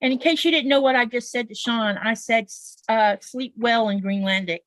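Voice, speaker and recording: A woman's voice: on the high side, moderate pace, fairly bright tone, no room sound. A woman with a Southern US accent, chatting casually on a livestream in an explanatory, folksy way. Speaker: quilter guest on a livestream.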